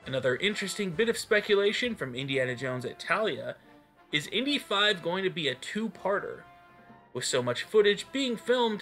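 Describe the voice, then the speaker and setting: Male fan-news host, upbeat and keen, somewhat high, crisp-edged bright delivery over music. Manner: enthusiastic. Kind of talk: scripted news roundup narration. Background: music behind the voice.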